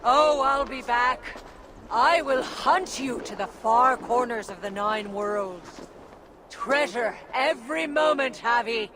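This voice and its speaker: An actor playing a menacing fire giant in a video game, deliberate and threatening. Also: male voice, extremely high pitch, background noise, full character voice. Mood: cold menace. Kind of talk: video game cutscene acting.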